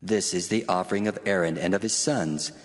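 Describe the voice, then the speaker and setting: An actor playing God as a solemn, commanding figure in a dramatized scripture reading. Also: male voice, low voice, a little fast. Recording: long cavernous reverb, faint music behind. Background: music.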